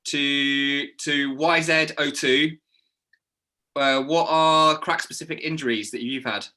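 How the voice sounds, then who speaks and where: A man's voice: on the high side, steady tone, slow pace, sharp tone. A British man co-hosting a casual live chat, reading out viewers' questions.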